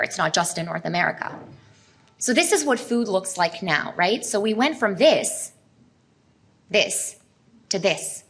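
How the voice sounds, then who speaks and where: A woman's voice: mid-range voice, husky. Woman giving an earnest talk. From Serbia. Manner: earnest, explanatory, asks the audience questions. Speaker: speaker at a health expo. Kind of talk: presentation talk to a live audience.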